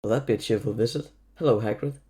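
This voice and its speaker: A man's voice: fairly low pitch, fast talker, warm tone, natural voice. A man playing a blunt, sarcastic teenage schoolboy in an audio drama. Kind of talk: fan audio drama acting.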